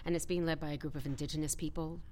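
An actress playing a brisk, no-nonsense committee chairwoman in a satirical audio drama, dry and businesslike. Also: low voice, steady tone, darkish tone, lightly acted. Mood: serious, stern.

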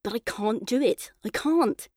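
A woman's voice: fairly high pitch, unhurried, no room sound. Woman as an earnest boy narrator, close dry sound. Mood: playful, frustrated. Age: child. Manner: earnest, colloquial, expressive. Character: boy narrator in a coma, chatty, frustrated, yearning. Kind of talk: first-person children's audiobook narration.

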